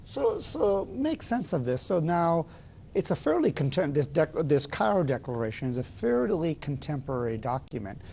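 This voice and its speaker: Man asking probing questions, slightly higher voice. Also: big pitch swings, normal speed, gravelly, darkish tone, slight background noise. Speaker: host of a panel discussion.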